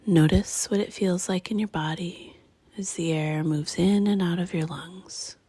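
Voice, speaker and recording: A woman leading a guided meditation in a hushed, breathy, gentle and unhurried way, leaving long pauses between phrases. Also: lowish voice, fairly even intonation, dry recording. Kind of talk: guided meditation, slow and soothing.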